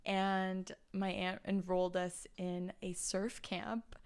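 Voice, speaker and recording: Chatty young woman guest from China, smooth voice, lots of ums and giggles. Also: medium pitch, level tone, takes it slowly, a little mellow. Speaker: podcast guest. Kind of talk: casual interview chat.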